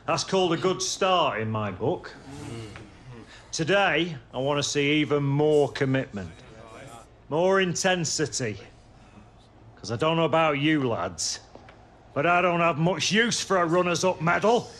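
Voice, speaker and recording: An actor playing a blunt, driven football coach in a video game cutscene. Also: male voice, fairly high pitch, lively intonation, a little slow, long pauses, fairly bright tone. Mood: rallying. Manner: rallying, blunt.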